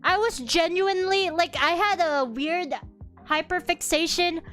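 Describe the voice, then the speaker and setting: Brief: young female streamer, high bright voice, lively mock outrage, soft music underneath. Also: measured pace. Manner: animated, exaggerated outrage, many restarts. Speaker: VTuber streamer. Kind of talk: casual chat while drawing, reading chat.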